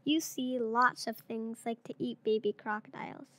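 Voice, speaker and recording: A woman's voice: a little high, fairly even intonation, normal speed, dry recording. A girl of about eight or nine, a third-grade pupil, reading a picture book aloud. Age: child, around eight or nine.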